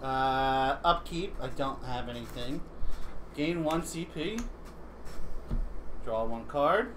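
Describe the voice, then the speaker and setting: Man at a game table, clear background noise. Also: medium pitch, animated, slow pace. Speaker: board game player. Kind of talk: casual tabletop game chat, reading rules aloud. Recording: clearly noisy livestream room recording.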